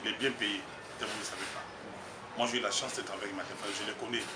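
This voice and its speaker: A man's voice: on the low side, fairly even intonation, fast talker. A man with a Congolese French accent, a political candidate speaking emphatically and rambling, slipping into Lingala. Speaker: political candidate, party communications secretary. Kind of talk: street interview. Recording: roomy, distant mic, noisy background.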